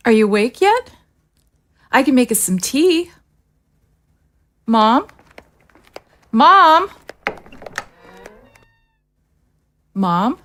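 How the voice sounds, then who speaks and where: An actress playing an anxious, emotional teenage daughter in an audio drama. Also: female voice, high-pitched, fairly bright tone, exaggerated voice. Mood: worried searching. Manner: emotional, pleading, accusing. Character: anxious, searching teenage daughter confronting her sick mother.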